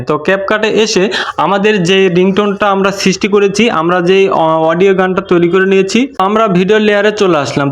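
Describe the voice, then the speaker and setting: A man narrating a step-by-step app tutorial in a repetitive, instructional way. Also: high voice. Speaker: tutorial host.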